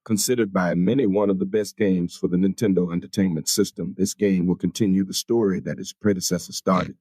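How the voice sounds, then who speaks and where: Male reader, moderately low voice, scripted preview copy, very dry recording. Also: fairly even intonation, a little fast, warm tone, slightly rough, no echo, low-quality recording. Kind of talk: reading game previews aloud.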